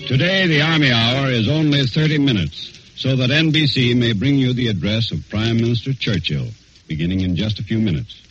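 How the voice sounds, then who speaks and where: A man's voice: mid-range voice, brisk, fairly bright tone, faint music behind. An American man announcing from a script in formal, declamatory 1940s radio style. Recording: dull, noisy 1940s radio broadcast.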